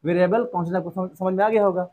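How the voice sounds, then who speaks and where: A man's voice: high-pitched, steady tone, racing, darkish tone. A young man teaching a maths lesson, calling his students "beta" and asking rhetorical questions he answers himself. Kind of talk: classroom lesson explanation.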